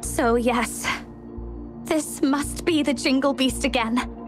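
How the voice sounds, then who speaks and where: A woman's voice: high voice, takes it slowly, music in the background. A woman voicing an anxious, earnest young explorer in a video game. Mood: fearful.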